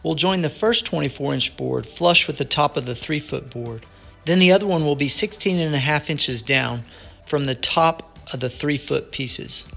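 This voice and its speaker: A man narrating a woodworking build over faint background music. His delivery is plain and measured, walking through each cut and measurement as a practiced how-to voice-over. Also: fairly high pitch, gravelly, a bit bright. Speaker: DIY woodworking instructor. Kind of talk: scripted step-by-step voice-over narration.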